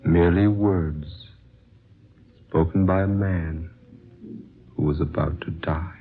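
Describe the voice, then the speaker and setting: An actor playing a wise older man in a 1940s radio drama, a calm spiritual guide who speaks in solemn, measured, cryptic questions. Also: low-pitched. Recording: muffled, noisy vintage radio recording.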